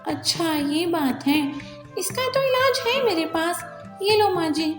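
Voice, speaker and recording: A woman's voice: very high voice, expressive, slow pace, voice pushed up, heavily acted. A woman voicing an eager, sweet and obedient young bird housewife in a cartoon, speaking politely. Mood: concerned. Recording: echoey reverb with music behind.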